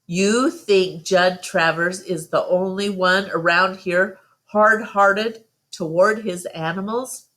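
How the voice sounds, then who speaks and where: Stern country father, voiced by a woman reader. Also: medium pitch. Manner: sharp, admonishing, folksy phrasing. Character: stern, principled country father, sharp with his son.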